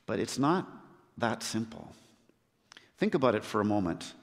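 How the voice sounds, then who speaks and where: Earnest preacher in a reverberant hall. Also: male voice, fairly low pitch, very animated. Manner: earnest, with measured emphatic pauses. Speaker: missionary preacher. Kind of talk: sermon.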